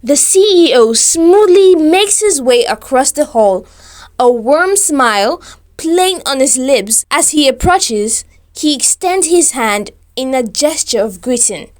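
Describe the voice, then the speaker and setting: A woman's voice: sits high, animated, takes it slowly. A woman storyteller reading a scripted romantic tale in a formal, descriptive, careful manner.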